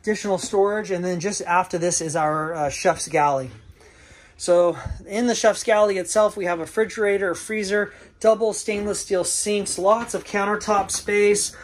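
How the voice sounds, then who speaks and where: Guy with a fairly high voice showing off a boat, lots of uh's, laid-back, faint hum behind. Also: normal speed. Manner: relaxed, frequent "uh" fillers, listing features. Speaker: yacht broker giving a walkthrough tour. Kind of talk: casual walkthrough narration. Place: aboard a sailboat in a marina, on deck and below. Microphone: handheld camera, first-person view.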